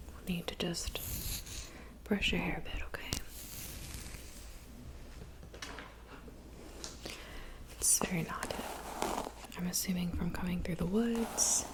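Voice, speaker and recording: A woman's voice: medium pitch, natural voice. Whispering kindly witch, acted by a woman. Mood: gentle, attentive. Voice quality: soft, breathy whisper. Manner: gentle, unhurried, attentive, reassuring. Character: kind, fussy woodland witch mixing love potions. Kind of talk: whispered ASMR roleplay.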